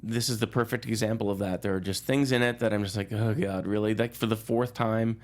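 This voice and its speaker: A man talking informally as a film journalist on a talk show, chatting in a halting way and repeating phrases. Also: fairly low pitch, steady tone. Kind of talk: casual film review chat.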